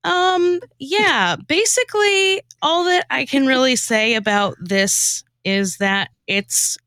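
A woman's voice: high-pitched, takes it slowly, pushed and bright, no echo, clean studio sound. A woman on a podcast, bantering casually and narrating comic verse like a storybook. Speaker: former podcast co-host, guest writer and narrator.

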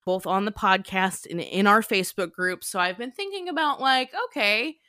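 A woman's voice: medium pitch, lively intonation, normal speed, pushed and bright, smooth, no echo, studio quality. A woman podcast host chatting casually and self-deprecatingly, often laughing. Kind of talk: casual chat.

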